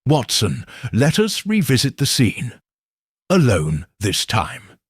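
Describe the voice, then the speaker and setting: A man voicing a shrewd, calm Victorian detective who reasons in a measured, precise way, in a husky voice. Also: medium pitch, dry recording, studio quality, full character voice.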